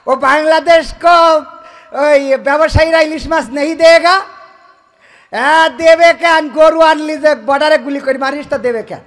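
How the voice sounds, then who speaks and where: A man's voice: very high-pitched, fairly bright tone. A man performing a comic skit in a colloquial, indignant, scolding manner. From Bangladesh. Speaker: comedian. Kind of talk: comic skit with mock phone call.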